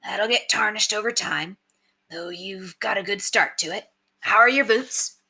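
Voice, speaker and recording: A woman voicing a brisk, gruff male bandit leader in a storybook, with a commanding, folksy, clipped manner. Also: medium pitch, sharp tone, exaggerated voice.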